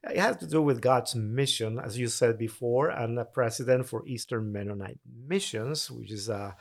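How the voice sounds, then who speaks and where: A man's voice: mid-range voice, normal speed, gravelly. Earnest man. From Honduras. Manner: earnest, explanatory, occasional restarts. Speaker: mission agency president. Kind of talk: podcast interview.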